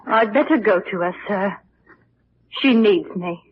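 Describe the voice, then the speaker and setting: An actress playing a devoted, soothing household servant in an old radio drama. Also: female voice, mid-range voice, measured pace, natural voice. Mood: concerned. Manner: soothing, deferential. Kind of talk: 1940s radio drama acting. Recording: noisy, muffled old radio recording.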